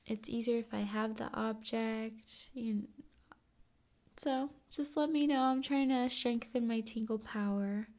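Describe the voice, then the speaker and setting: A woman speaking in a soft, breathy, often whispery voice, chatting gently to viewers in an ASMR style. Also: on the high side, a little slow. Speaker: ASMR video creator and host.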